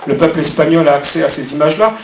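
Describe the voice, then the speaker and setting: An earnest man from France speaks in measured phrases on a conference panel. He sounds distant from the mic, and the hall is echoey with faint noise behind him. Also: a little high. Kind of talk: panel discussion. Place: conference hall at a trade fair.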